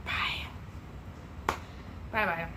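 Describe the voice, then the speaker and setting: A young woman reviewing beauty launches in casual, rambling chat, full of fillers and self-corrections, her voice wearing out. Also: extremely slow. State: losing her voice, tiring. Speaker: beauty vlogger. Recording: steady background noise.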